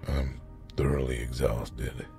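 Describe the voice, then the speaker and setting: A man's voice: a bass voice, slow pace, creaky, soft background music, voice pushed down, full character voice. A man voicing a weary, cold policeman husband who talks tersely in a storybook reading. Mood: weary, curt. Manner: terse, flat, withdrawn.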